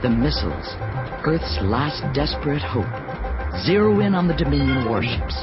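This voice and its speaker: A man's voice: medium pitch, very animated, moderate pace. Dramatic male narrator with sweeping intonation. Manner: grave, emphatic storytelling. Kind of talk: dramatic scripted radio play narration. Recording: dull sound under loud music.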